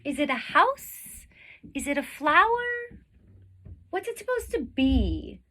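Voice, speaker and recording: A woman's voice: high voice, sing-song, slow pace, a bit bright, voice pushed up, full character voice. A woman voicing puzzled, disapproving grown-ups in a storybook, with pointed, exaggerated questioning. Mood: doubting.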